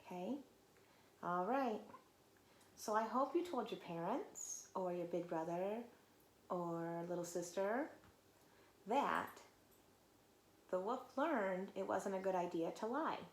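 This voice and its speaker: A woman teacher reading a storybook aloud to children, animated and talking to them directly. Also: mid-range voice, takes it slowly, pauses often. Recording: roomy echo, faint background noise.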